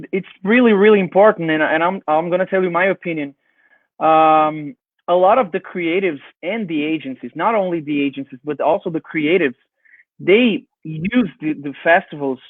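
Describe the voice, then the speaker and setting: Man, Brazilian accent, native Portuguese speaker, high voice, halting conversational delivery, thin phone-like sound. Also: no echo. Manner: halting, repeats words. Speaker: interview guest, advertising creative and festival jury member. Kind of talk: conversational interview answers. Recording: narrow tinny phone-like line.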